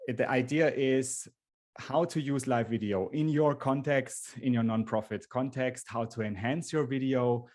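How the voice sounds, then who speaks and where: A man's voice: mid-range voice, a little slow, darkish tone. German-accented man hosting a webinar. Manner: earnest, repeats and restarts phrases. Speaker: webinar host. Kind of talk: live webinar presentation.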